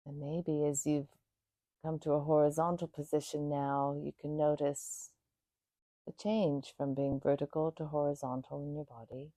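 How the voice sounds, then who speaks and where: A woman guiding a meditation in a soothing, unhurried way, speaking in short phrases with pauses between them. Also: sits low, level tone, warm tone, dry recording. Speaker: yoga nidra meditation guide. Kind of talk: guided meditation.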